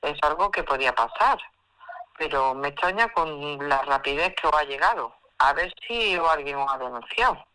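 Audio drama activist, played by a woman: calm, supportive adviser, crisp forward tone, slightly low pitch. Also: normal speed, low-quality recording. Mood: calm, reassuring.

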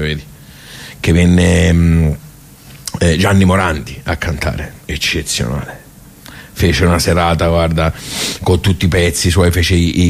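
A man with a Tuscan accent playing a simple, grumbling, nostalgic middle-aged club regular in a radio soliloquy, halting and muttering as he rambles. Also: deep voice. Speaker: actor in a radio theatre monologue. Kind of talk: colloquial theatrical soliloquy.

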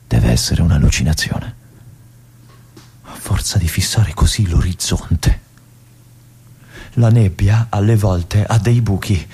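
An actor playing a brooding male army lieutenant in a theatrical monologue, with an intense, hushed delivery and phrases that drop to a whisper. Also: sits low, husky, heavily acted. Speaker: stage actor.

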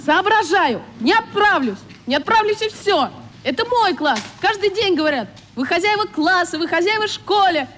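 Very high-voiced, defiant schoolboy. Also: female voice, lively intonation, normal speed, a bit bright, slight background noise. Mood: stubborn, heated. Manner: blunt, stubborn, argumentative. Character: defiant, hot-headed schoolboy standing up for justice. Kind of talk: radio play acting.

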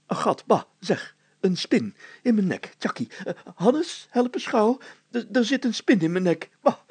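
A man voicing a pompous, fussy, excitable town mayor in a story, exclaiming and stammering when flustered. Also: high-pitched, unhurried, slightly rough, no echo, pitched above the performer's own voice, exaggerated voice. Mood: squeamish panic.

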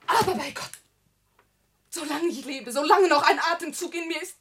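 A woman's voice: high voice, normal speed. An actress playing a fiercely devoted young woman in a classic radio drama, theatrical and emphatic. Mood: defiant, frantic. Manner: emphatic, theatrical, swinging from hushed to shouting. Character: fierce, devoted young woman defending her beloved older man. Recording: old radio recording with faint noise.

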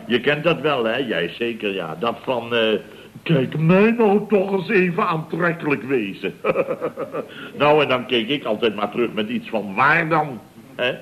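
Pompous, blustering Dutch boss. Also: male voice, mid-range voice, animated, measured pace, fairly bright tone, rough recording. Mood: smug, amused. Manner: pompous, stammering repetitions, chuckling, mock indignation. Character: boastful, blustering male building contractor, self-important storyteller. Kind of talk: Dutch radio comedy serial acting.

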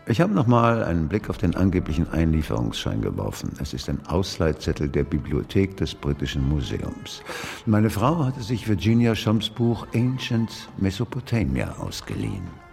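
An actor playing a wry, triumphant gentleman ghost in a radio drama, measured, eloquent and smug. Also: extremely low pitch, very animated, creaky, faint music behind, exaggerated voice.

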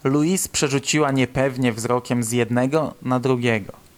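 A man's voice: medium pitch, steady tone, a little slow. Male narrator for a radio play, mid-range voice, scripted storytelling, slight noise in the recording. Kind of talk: scripted audio drama narration.

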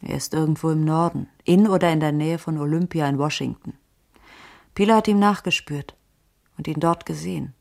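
Low, gravelly, world-weary streetwise woman. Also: normal speed, darkish tone. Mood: insistent, knowing. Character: careworn, streetwise female ex-cop with a tip. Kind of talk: radio drama dialogue, hard-boiled crime.

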